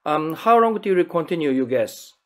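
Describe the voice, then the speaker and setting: A male interviewer who is a native Japanese speaker, with a Japanese accent in English. His voice sits a little high, and he puts his questions casually, feeling his way through the phrasing. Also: sing-song, normal speed.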